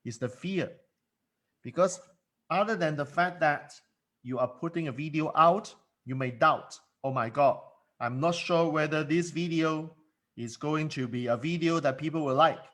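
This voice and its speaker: A man's voice: fairly high pitch, a little slow, fairly bright tone. A man coaching in a solo monologue, emphatic and given to repeating words, speaking English as a second language. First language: not English. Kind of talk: motivational monologue.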